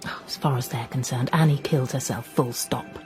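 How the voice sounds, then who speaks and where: An actress playing a shrewd, insistent amateur sleuth in a radio drama, scornful and pressing in manner. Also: female voice, extremely low pitch, fairly even intonation, brisk, over music. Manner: scornful, pressing, hushed at times.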